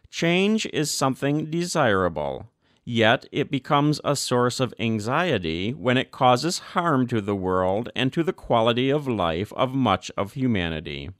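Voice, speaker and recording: A man giving a measured, earnest audiobook reading of a formal church document. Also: medium pitch, no echo, clean studio sound.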